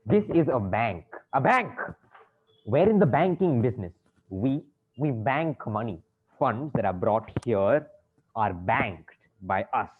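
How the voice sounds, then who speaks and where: A man's voice: mid-range voice, big pitch swings, a little fast, slightly rough. An actor playing a fussy, exasperated bank manager in a comic play, stammering politely as his patience frays. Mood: polite, strained. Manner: stammering, fussy, over-polite turning frantic. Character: flustered, exasperated male bank manager, polite but fraying. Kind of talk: comic stage-play acting. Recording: tinny band-limited audio, faint noise.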